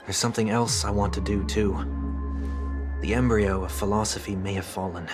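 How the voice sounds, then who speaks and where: An actor playing a composed, formal young man who speaks in measured, deliberate sentences, with music playing behind him. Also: on the low side, steady tone, close to an everyday voice. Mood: calm. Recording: game soundtrack music behind.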